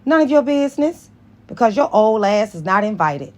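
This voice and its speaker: A woman voicing a sassy, sharp-tongued young female school nurse in an audiobook, animated and slangy. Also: mid-range voice, moderate pace, voice pushed up, close to an everyday voice. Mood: snappy, scornful. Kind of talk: dramatized audiobook dialogue.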